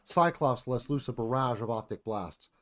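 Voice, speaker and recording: A man's voice: medium pitch, lively intonation, moderate pace. A man reading scripted stage directions as the narrator of a live radio theatre performance.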